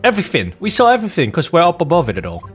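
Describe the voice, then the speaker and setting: A man's voice: fairly high pitch, wildly swooping pitch, rapid-fire, a bit bright, faint music behind. A man voicing a cocky young street-urchin girl turned detective in a video game, using street slang. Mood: cocky, excited.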